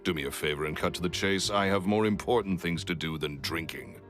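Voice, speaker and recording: Curt, low-voiced, short-tempered retired magician. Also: male voice, brisk, fairly bright tone, soft background music. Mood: impatient. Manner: curt, dismissive, impatient. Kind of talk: English game voice acting.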